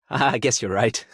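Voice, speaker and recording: Scrappy husky game kid, big dreamer, casual, swings around a lot. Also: female voice, very deep voice, dry recording, clean studio sound, heavily acted. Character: scrappy young underdog dreamer, casual and cocky. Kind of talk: game character acting.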